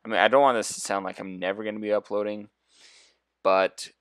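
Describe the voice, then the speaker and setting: Brief: young adult man, deep and soft-spoken, laid-back game commentary full of "um"s, lowish pitch. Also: a little fast, clean tone, no room sound, clean studio sound. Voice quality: deep, quiet voice. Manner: relaxed, rambling, thinks aloud with fillers. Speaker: gameplay video host. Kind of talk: casual gameplay commentary.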